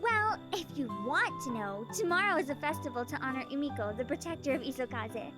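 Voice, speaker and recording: Teenage little sister, high girlish pitch, sassy, playful, anime game style, clear music behind. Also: expressive, brisk. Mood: matter-of-fact. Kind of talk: anime-style visual novel voice acting.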